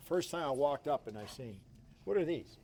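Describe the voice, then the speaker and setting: A man's voice: medium pitch, expressive, normal speed, darkish tone. An older man showing off a car in casual chat, jokey and often repeating himself. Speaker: car show regular.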